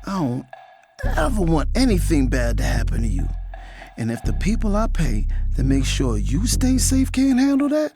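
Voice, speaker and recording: Guy playing possessive flirty boss man, slangy audio drama, highish voice w music under it. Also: wildly swooping pitch, moderate pace. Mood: tender, possessive. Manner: informal slangy delivery. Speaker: narrator and lead character. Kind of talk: audio drama with first-person narration. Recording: music behind.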